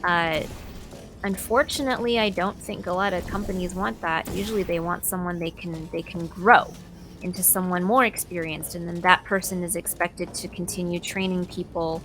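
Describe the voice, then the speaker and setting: A woman who is a voice actor, answering interview questions casually and thoughtfully, with hesitant pauses. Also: fairly low pitch, fairly even intonation, background noise. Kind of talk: casual, relaxed conversation.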